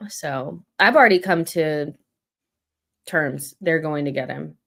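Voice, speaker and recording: A woman's voice: low voice, slow pace, vocal fry, a bit bright. A woman hosting a casual football chat, blunt and pessimistic. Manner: blunt, pessimistic fan venting. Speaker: host.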